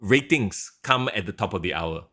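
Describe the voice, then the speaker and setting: A man in his thirties co-hosting a podcast, explaining things at length in an animated, chatty way. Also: lowish voice, normal speed. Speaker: podcast co-host. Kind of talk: casual chat.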